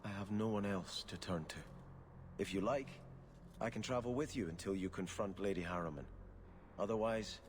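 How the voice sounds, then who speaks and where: An actor playing an earnest, grieving, devout young noble in a game, speaking formally and gravely. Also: male voice, lowish voice, normal speed, a little hiss or hum, lightly acted. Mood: earnest pleading. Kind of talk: dramatic game dialogue.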